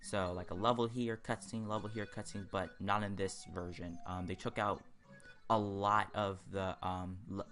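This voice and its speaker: A man's voice: deep voice, steady tone, normal speed, a bit bright. A man giving casual let's play commentary on a video game, in a hesitant, rambling, stop-and-start way. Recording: game music behind the voice. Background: video game music.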